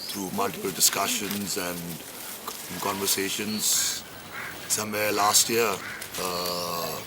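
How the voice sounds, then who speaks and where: A man who founded a travel company, speaking in a thoughtful, unhurried way in an interview. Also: low-pitched, little pitch movement. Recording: outdoor with background noise.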